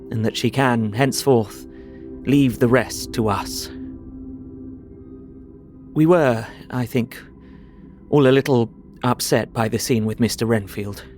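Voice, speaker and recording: An actor playing an earnest, devoted husband reading his journal aloud in a measured way for an audio drama. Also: mid-range voice, darkish tone, soft background music, lightly acted. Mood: earnest, reflective.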